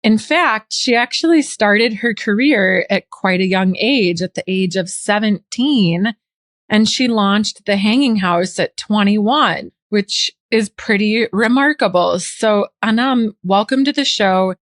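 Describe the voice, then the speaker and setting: A woman's voice: medium pitch, takes it slowly, no echo, studio quality. A woman in her forties from the United States, hosting a podcast interview in an upbeat, friendly way. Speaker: podcast host.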